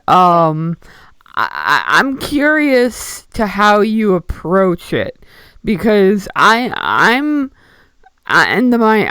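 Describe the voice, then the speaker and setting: Slow, smooth-voiced man, hesitant in delivery. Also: sits high, a bit bright, dry recording. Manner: hesitant, apologetic, self-correcting. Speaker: interviewer chiming in on a radio show. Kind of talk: casual, thoughtful radio interview talk.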